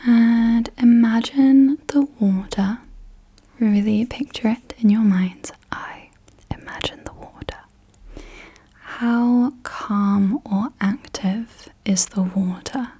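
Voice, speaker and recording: A young woman whispering softly and unvoiced as an ASMR host, gently asking slow questions in a guided visualisation, close to the mic. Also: fairly high pitch, fairly even intonation, husky. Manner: soft unvoiced whisper throughout. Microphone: close sensitive mic.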